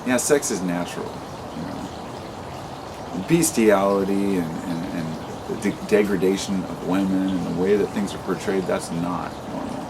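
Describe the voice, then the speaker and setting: A man's voice: low-pitched, a little slow, background noise. A man in his late thirties talking freely in a vlog monologue, rambling and impassioned, swearing often and restarting his sentences. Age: 30s. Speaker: vlogger. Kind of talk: unscripted monologue to camera.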